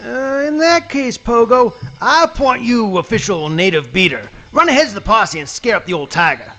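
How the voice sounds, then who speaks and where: Loud-mouth swamp gator sheriff, gravelly n high, folksy southern dialect, radio comedy. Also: male voice, big pitch swings, normal speed, heavily acted. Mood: blustery, bossy. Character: blustering, boastful adult male cartoon alligator playing sheriff. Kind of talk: live comic radio play reading.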